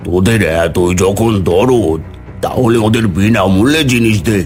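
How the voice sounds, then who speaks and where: A man voicing a greedy, stubborn village wholesale merchant in a cartoon, with a gruff manner. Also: fairly low pitch, unhurried, a little mellow, soft background music, natural voice. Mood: gruff, dismissive. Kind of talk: cartoon character dialogue.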